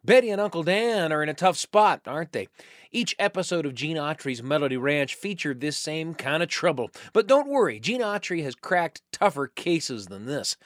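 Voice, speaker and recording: A man hosting a story program, enthusiastic and playful. His pitch is animated and a little high. The sound is dry and close. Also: moderate pace, dry recording. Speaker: host. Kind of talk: radio show narration.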